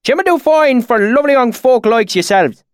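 A man's voice: extremely high pitch, lively intonation, normal speed, no room sound, clean studio sound, pitched above the performer's own voice. A man voicing a weathered country swineherd with a thick rural dialect and folksy, hollering speech. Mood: friendly. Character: lean, weathered, suspicious old rustic swineherd, later friendly.

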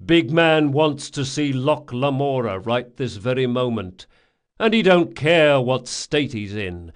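Male heavy for an audiobook, voiced by a male narrator: hard, mock-courteous messenger, rough speech, insistent. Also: mid-range voice, expressive, slow.

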